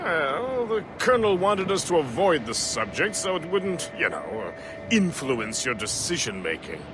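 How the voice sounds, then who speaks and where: An actor playing a jovial, tipsy middle-aged ship's mate in a video game, wordy and teasing. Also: male voice, a little high, very animated, measured pace, music in the background. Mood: candid, amused. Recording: outdoor noise behind the voice.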